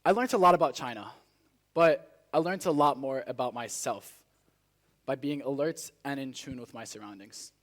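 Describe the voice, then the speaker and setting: Teenage male student speaker, medium pitch, earnest and rehearsed public-speaking delivery with deliberate phrasing. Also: fairly bright tone. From Spain, born in South Africa. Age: teenager, high school student. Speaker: student speech contestant. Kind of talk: rehearsed motivational speech to a live audience.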